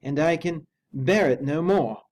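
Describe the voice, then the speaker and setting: A man voicing a frightened, dogged butler who speaks haltingly and deferentially in a hoarse, strained voice, as in an audiobook. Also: fairly high pitch, darkish tone, pitched above the performer's own voice, close to an everyday voice. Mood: fearful, dogged. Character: frightened, dogged, servile old-fashioned butler.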